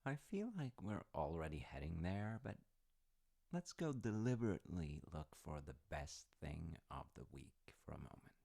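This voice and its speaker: Man playing a very deep-voiced, gravelly, gentle dad. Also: big pitch swings, heavily acted. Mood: warm, relaxed. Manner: reflective, gently encouraging, pausing. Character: gentle wholesome dad chatting warmly over tea. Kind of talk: slow intimate audio roleplay, unhurried one-sided chat.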